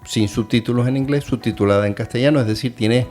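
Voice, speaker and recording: A man's voice: medium pitch, fast, darkish tone, faint music behind. A middle-aged man hosting an educational radio chat, talking in a hesitant, thoughtful way with many fillers. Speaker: radio host and adult education teacher.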